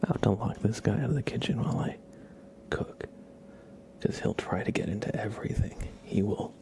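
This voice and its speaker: A man speaking in a soft, gravelly whisper, chatting casually and gently as an ASMR live streamer greeting viewers. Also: fairly low pitch, moderate pace. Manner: gentle, rambling chat with viewers and pet. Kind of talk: ASMR livestream casual chat.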